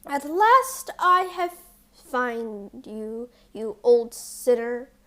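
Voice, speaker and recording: A woman acts out a watchful storybook huntsman. The lines are stern and very slow, each word weighed. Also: on the high side, lively intonation, sharp tone, full character voice. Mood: stern triumph. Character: gruff, watchful male huntsman.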